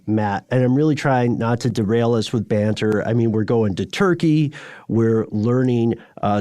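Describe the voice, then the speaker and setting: A man's voice: medium pitch, dark tone, no room sound, studio quality. A man co-hosting a podcast in casual, bantering chat, with a wry, playful and rambling way of talking. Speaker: podcast co-host. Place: remote recording, dry close sound.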